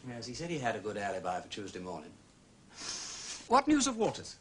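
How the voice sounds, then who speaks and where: An actor playing a jaunty, quick-witted gentleman sleuth with an upper-class English accent and breezy, theatrical phrasing in a period detective drama. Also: on the low side, wildly swooping pitch, slightly rough, full character voice. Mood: wry, musing.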